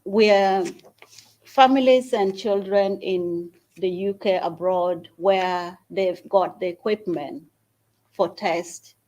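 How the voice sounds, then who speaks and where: A woman from Uganda, the founder of a small charity, gives an earnest speech at an event and makes an appeal with long pauses. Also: mid-range voice, steady tone, darkish tone, lo-fi sound. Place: event hall at a university.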